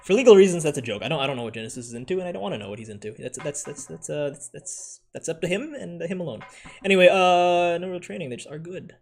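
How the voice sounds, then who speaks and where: A man's voice: a little high, lively intonation, fast talker, vocal fry, a little mellow. A man with an American accent, raised in the Philippines, casually ranking anime in a rambling way, full of fillers and self-corrections. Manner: laughs often while talking.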